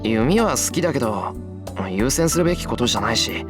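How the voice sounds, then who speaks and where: A man's voice: mid-range voice, animated, rapid-fire, natural voice. A man voicing a kind, stubborn teenage schoolboy in a visual novel, casual and frank in manner. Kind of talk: Japanese visual novel voice acting. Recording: music behind the voice.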